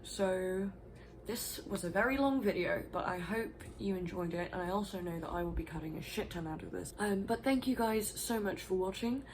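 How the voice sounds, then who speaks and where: A woman's voice: mid-range voice, normal speed, a little hiss or hum, rough recording. A woman vlogger talking casually and at length, rambling a little and swearing now and then. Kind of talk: casual explanatory vlog.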